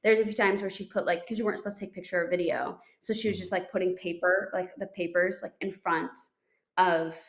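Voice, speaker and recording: A woman's voice: fairly low pitch, fairly even intonation, brisk, vocal fry. A young woman co-hosting a podcast, telling a story in a chatty way with many fillers and restarts. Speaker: podcast co-host. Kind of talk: casual chat.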